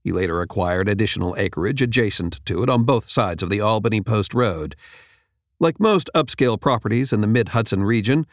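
A man reads a nonfiction audiobook in a measured, clearly enunciated way, close to the microphone. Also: lowish voice, lively intonation, no room sound. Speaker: audiobook narrator. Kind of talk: scripted nonfiction audiobook narration. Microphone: close studio microphone.

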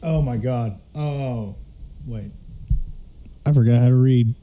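A man's voice: medium pitch, slow pace, warm tone, lo-fi sound. A man in casual podcast banter, reacting to what the others say. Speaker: podcast participant.

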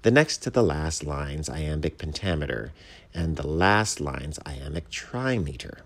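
A man's voice: very deep voice, lively intonation, unhurried, heavily acted. A man voicing a self-important but courteous mockingbird, a pedantic poetry critic in a storybook. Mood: slightly impatient. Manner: pompous, polite, pedantic.

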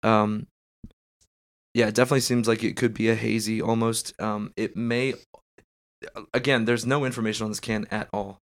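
A man's voice: lowish voice, level tone, moderate pace, long pauses, no echo, studio quality. A man hosting a casual chat, congested and losing his voice, talking in loose rambling bursts. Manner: rambling, filler-heavy. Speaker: podcast host.

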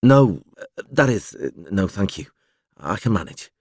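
A man voicing a stoical, quietly shaken ageing working man in an audiobook, with a restrained, halting manner. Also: lowish voice, very animated, no room sound, studio quality, full character voice. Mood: numb, restrained. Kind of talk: audiobook character dialogue.

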